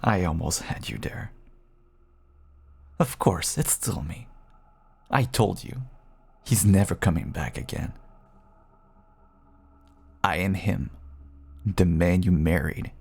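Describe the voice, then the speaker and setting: A man playing a feral, manipulative vampire husband in an audio roleplay, mocking and hungry, drawling his endearments in a husky, gravelly voice. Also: low voice, darkish tone, exaggerated voice. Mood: bitter, mocking. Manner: slow, taunting, drawling endearments. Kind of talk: intimate audio roleplay acting.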